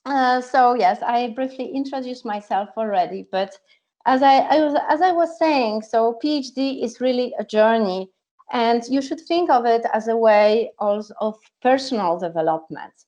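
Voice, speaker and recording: A woman's voice: on the high side, moderate pace, a little mellow. A woman, a psychologist telling her story earnestly in an online talk. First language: Polish. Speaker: psychologist and coach. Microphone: video call. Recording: lo-fi video call audio.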